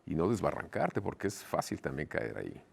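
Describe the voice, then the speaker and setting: Un hombre mexicano conductor de un programa de televisión, entrevistando con calma y asintiendo brevemente. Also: male voice, rumbling low, brisk, creaky, husky. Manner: calm, brief affirming interjections. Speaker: TV show host. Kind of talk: television interview.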